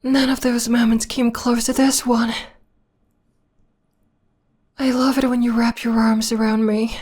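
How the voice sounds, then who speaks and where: A woman playing a possessive, obsessed young vampire lover in an ASMR romantic roleplay, speaking softly and intimately, often in a breathy whisper. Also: a little high, little pitch movement. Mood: possessive, tender. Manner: slow, intimate, sweetly controlling.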